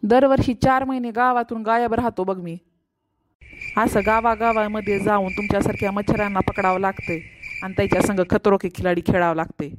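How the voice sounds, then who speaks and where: A woman with a rural Marathi accent voicing a bossy, scolding male stunt-show host in a comedy cartoon, with gruff, mocking banter. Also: mid-range voice, steady tone, brisk, background noise, close to an everyday voice. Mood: grumbling.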